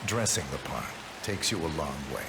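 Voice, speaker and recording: A man playing a terse, controlled professional operative in a video game, speaking in a measured, formal way. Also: a bass voice, very animated, vocal fry, heavily acted. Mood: cool, controlled. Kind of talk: scripted video game cutscene dialogue. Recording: background noise and ambience.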